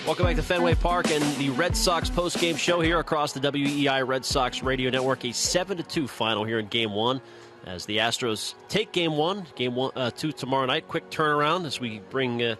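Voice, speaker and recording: A man's voice: medium pitch, fairly bright tone. A man hosting a baseball postgame radio show, recapping the game in a conversational broadcaster's delivery. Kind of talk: sports postgame recap and commentary. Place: ballpark broadcast booth.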